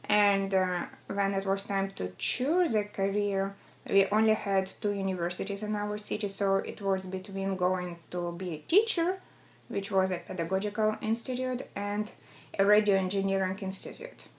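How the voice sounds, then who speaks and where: A woman's voice: mid-range voice, normal speed. A woman in her thirties from southern Russia, with a Russian accent, talking about her own life as an interviewee. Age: 30s.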